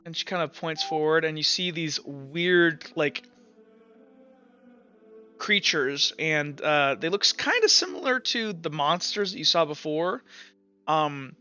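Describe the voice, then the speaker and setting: A man acting as game master, improvising tabletop narration in halting descriptions full of fillers and repeats. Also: a little high, animated, sharp tone. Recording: muffled lo-fi mic with music. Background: Latin chant music.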